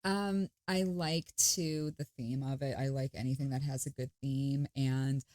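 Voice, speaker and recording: A woman's voice: rumbling low, fairly even intonation, unhurried, darkish tone. An upbeat woman co-hosts a casual podcast about spirituality. She speaks over a video call and tends to reel off ideas one after another. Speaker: podcast co-host. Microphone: video call, slight delay.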